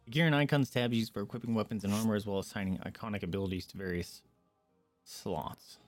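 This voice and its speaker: A man's voice: fairly low pitch, steady tone, quick pace. A man giving casual gameplay commentary as a streamer, muttering to himself as he reads on-screen text aloud. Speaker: streamer playing a video game. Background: video game music.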